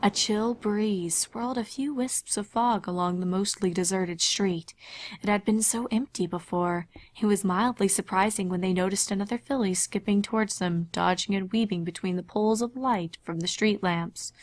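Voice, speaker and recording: A woman reading fan fiction aloud as an expressive story narrator. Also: medium pitch, moderate pace, dry recording.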